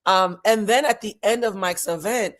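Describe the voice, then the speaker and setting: A vlog host in their forties with a husky voice, chatting casually and impromptu, talking frankly and swearing freely. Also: medium pitch, moderate pace, a bit bright.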